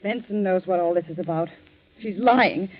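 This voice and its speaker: An actress playing a frantic, accusing sister-in-law in a 1940s radio melodrama. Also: female voice, lowish voice, normal speed, natural voice. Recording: dull vintage 1940s radio recording.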